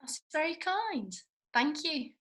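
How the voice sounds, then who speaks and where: A woman acts out a cheeky, blunt young woman in a screen comedy read-through. Her voice is bright and highish, with very lively swings in pitch as she teases. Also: slow, full character voice. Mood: polite. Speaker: actor in a script read-through. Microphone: video call.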